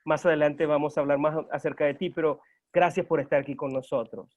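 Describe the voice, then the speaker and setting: A man's voice: a little high, fairly even intonation, fast, a little mellow. A man hosting an interview, speaking formally with hesitant "ah" fillers. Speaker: interview host. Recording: dull lo-fi sound.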